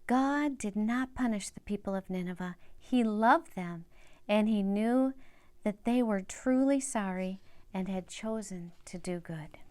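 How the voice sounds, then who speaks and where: A woman's voice: mid-range voice, a little slow, warm tone. An older woman reads a children's Bible story aloud, speaking directly and simply to young listeners.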